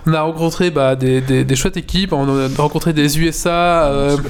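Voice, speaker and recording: Man host, high voice, stuffy with a cold, chatty, laughs a lot. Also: fairly even intonation, normal speed, a little hiss or hum. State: congested from a cold. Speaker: podcast host. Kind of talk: casual chat.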